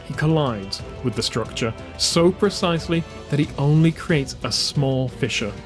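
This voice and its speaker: A man's voice: a little high, expressive, moderate pace. A man giving a dramatic scripted narration as an audiobook narrator. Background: music under the voice.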